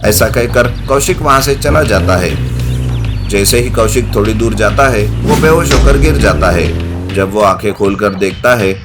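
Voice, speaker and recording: A man with a fairly low voice narrates a scripted village tale as storyteller. Music plays clearly behind his voice. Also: expressive, a little slow.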